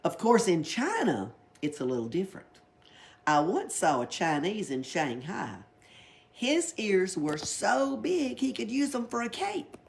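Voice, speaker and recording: A woman's voice: medium pitch, big pitch swings, unhurried, creaky, pitched above the performer's own voice. A woman voicing a cheeky, exuberant young girl who tells tall tales in a children's storybook, gleeful, emphatic and often giggly. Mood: mock-serious tall tale. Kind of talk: children's storybook read aloud.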